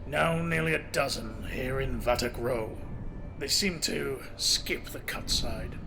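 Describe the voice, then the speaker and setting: A man plays a ghoulish noir detective with a gravelly mid-pitched voice. He talks slowly and with mock courtesy, dwelling on grisly detail over music. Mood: grimly relishing. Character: grisly-minded, mock-polite male detective in a noir thriller. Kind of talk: noir audio drama acting.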